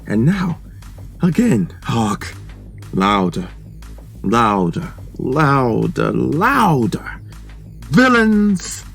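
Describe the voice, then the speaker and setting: A performer playing a guilty, unhinged murderer in a gothic horror monologue, theatrical and deliberate, insisting on composure. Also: mid-range voice, very animated, gravelly, exaggerated voice. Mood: agitated, desperate, then shrieking confession. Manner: theatrical, deliberate, swinging from hushed to shrieking. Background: eerie sound effects and faint music.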